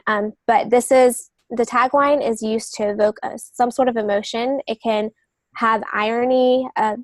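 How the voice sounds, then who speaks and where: A woman's voice: fairly high pitch, no room sound. A woman co-hosting a podcast, explaining things step by step in an informative, conversational way. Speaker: podcast co-host, literary agent.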